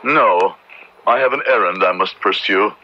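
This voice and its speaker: An actor playing a solemn, conscientious Puritan minister with measured, formal diction in an old-time radio drama. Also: male voice, deep voice, natural voice. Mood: grave, troubled. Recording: dull, noisy old radio drama recording.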